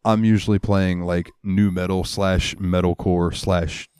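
Low-ish guy rambling on a podcast, cusses a lot, dry close sound. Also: level tone, a little slow, a little mellow, clean tone, dry recording. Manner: rambling storyteller, swears freely. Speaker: podcast co-host. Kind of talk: casual chat. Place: US South, central Florida.

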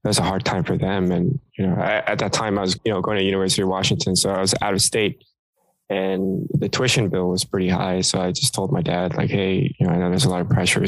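A deep, gravelly young man talks in a loose, rambling way as a guest on an interview podcast. He is Korean-born and often restarts his sentences. The sound is dry and close. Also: dry recording, poor audio. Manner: rambling, full of fillers and restarts. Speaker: guest entrepreneur. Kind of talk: casual interview talk.

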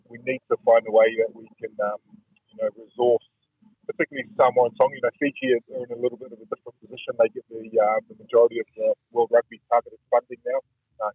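Brief: New man, radio guest over a tinny phone line, husky, quick and halting, flat delivery. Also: medium pitch, no echo. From New Zealand. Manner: halting, restarts often, searching for words. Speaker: rugby guest interviewee. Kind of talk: radio interview.

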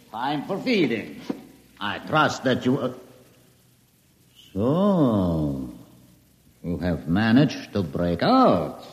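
A man's voice: fairly low pitch, a little mellow, full character voice. An actor in an old radio drama playing a gloating, mock-courteous male captor who menaces his tiny prisoners. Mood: mock-friendly. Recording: reverberant, dull vintage radio recording.

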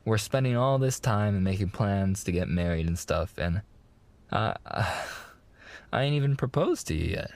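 A man plays a young mafia man courting his fiancée in an intimate ASMR roleplay. His voice sits on the low side. He talks in wiseguy slang, earnest and a little hesitant, reassuring and protective toward the woman he adores. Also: expressive, normal speed, gravelly, a little mellow. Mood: tender, hesitant. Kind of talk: boyfriend ASMR roleplay acting.

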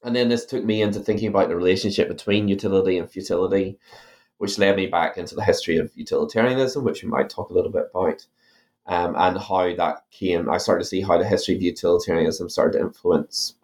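Irish man, low voice, halting academic. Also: a little mellow. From Ireland. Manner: thoughtful, many fillers and restarts. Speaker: author guest. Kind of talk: academic interview.

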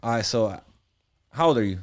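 A man's voice: medium pitch, expressive, normal speed. Laid-back man in his twenties. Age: 20s. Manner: loose rambling slang, frequent laughs. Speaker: guest, music producer. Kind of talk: casual podcast interview.

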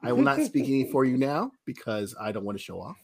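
Animated, joking male podcast host. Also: medium pitch, fast, creaky. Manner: joking, self-deprecating, playful. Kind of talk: casual comedic podcast hosting.

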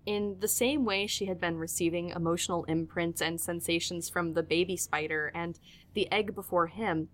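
A woman reading scripted third-person narration for an audio drama as its storyteller. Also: on the low side.

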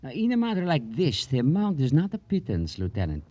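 Husky, sorrowful, courtly merchant. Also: male voice, on the high side, wildly swooping pitch, fairly quick, dark tone, highs cut off. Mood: mournful, formal. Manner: stilted, courteous, pompous wording. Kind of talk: old-time radio crime drama acting.

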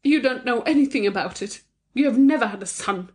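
A woman's voice: high voice, steady tone, moderate pace, voice pushed up. A woman reading a proud, grief-stricken middle-aged mother in an audiobook, bitter and intense.

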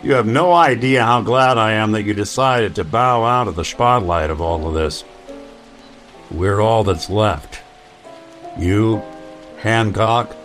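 Noir radio play lead: world-weary old synth gumshoe, low, unhurried, wry, music underneath. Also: male voice, fairly bright tone. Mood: fond, wry. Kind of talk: noir radio drama acting.

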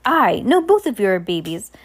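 A woman's voice: mid-range voice, big pitch swings. A woman voicing a cheerful, scattered, enormous man in a storybook, who rattles off contradicting commands. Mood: bossy, scatterbrained. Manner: rapid self-contradicting commands.

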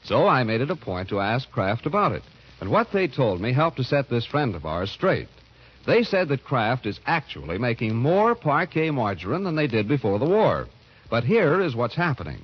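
A man's voice: medium pitch, sing-song, moderate pace, breathy edge. An American man announcing in the style of 1940s radio, delivering scripted sponsor messages and credits. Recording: muffled 1940s radio recording.

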